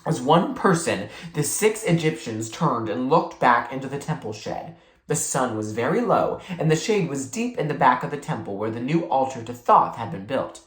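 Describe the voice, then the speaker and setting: Read-aloud narrator for a children's novel, highly varied intonation, roomy acoustic. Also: sing-song, moderate pace. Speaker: book reader. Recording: echoey room.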